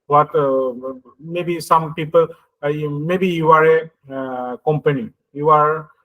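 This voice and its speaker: A man with an Indian accent giving an explanatory lecture in a halting, hesitant way, often checking that listeners follow. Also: on the high side, steady tone, sharp tone, poor audio. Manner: halting and hesitant, frequently checks understanding with "okay" and "right". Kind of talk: explanatory lecture with everyday examples.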